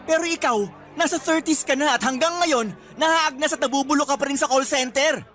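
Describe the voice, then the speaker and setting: A man's voice: very high-pitched, steady tone, a little fast, sharp tone. A man playing an arrogant graduate in his twenties, a scornful, taunting younger brother with a Filipino accent in a radio drama. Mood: taunting anger. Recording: music bed under the voice.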